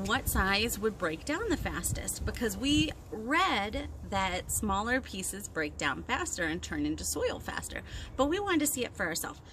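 Chatty woman storytime host, noisy outdoor recording. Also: mid-range voice. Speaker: children's librarian and storytime host. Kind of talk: casual instructional talk to young children. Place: outdoors on a backyard deck. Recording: outdoor recording with wind and ambient noise.

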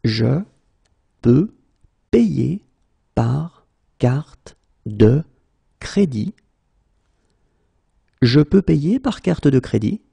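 A man who is a native French teacher, slowly drilling short phrases, saying each one whole and then word by word. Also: mid-range voice, very animated, creaky, dark tone, breathy edge. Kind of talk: slow phrase-by-phrase language drill.

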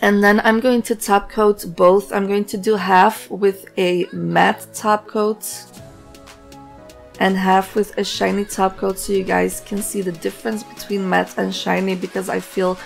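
A woman hosting a nail art tutorial, hoarse and raspy from a cold, narrating in a relaxed, chatty way with plenty of fillers. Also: medium pitch, a little slow, soft background music. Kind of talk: casual voice-over tutorial narration.

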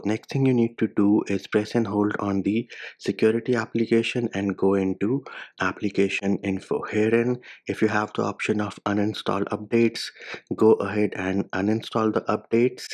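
Male tech tutorial host, low pitch, flat delivery, measured and instructional. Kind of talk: step-by-step phone tutorial voice-over.

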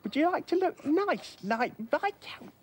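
Eager, high-voiced little rag doll boy. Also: very animated, a little slow, slight background noise. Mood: gentle wonder. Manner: childlike, simple, wondering delivery. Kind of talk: children's puppet show character acting.

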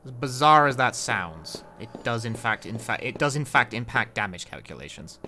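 A man's voice: medium pitch, big pitch swings, normal speed, pushed and bright, slight background noise. A young man giving casual, rambling game commentary as a speedrun streamer, wry and playful. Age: young adult. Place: indoor room at home. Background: game music.

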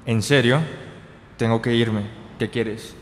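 A man's voice: mid-range voice, steady tone, slow, slight background noise, natural voice. Man acting impatient brother, echoing radio drama. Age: young adult. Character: impatient, dismissive young man, proud and materialistic brother. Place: indoor green-screen studio. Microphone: handheld dynamic microphone held close. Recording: reverberant hall-like echo.

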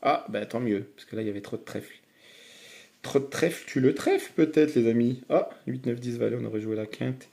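A man commenting on his online poker hands for viewers in a chatty, excitable way. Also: mid-range voice, a little fast, gravelly. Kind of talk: casual live commentary while playing.

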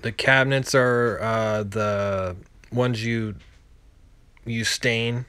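A man in his thirties, a landlord giving a casual, halting, unscripted walkthrough voiceover. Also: medium pitch, steady tone, fairly bright tone, clear, resonant tone, no room sound. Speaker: landlord and home renovator.

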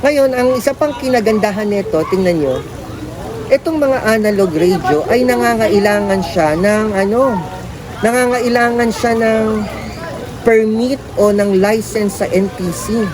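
A woman's voice: medium pitch, unhurried, crowd noise. A woman with a Filipino accent politely explaining a product to viewers in an informal way, often posing rhetorical questions. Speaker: presenter.

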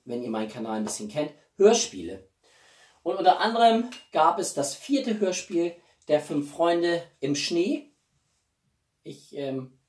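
A man in his forties chatting casually as a video blogger, with halting speech and enthusiastic asides. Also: on the high side, darkish tone. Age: 40s. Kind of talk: casual chatty vlog presenting gifts. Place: small living room, indoors. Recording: roomy echo, distant microphone.

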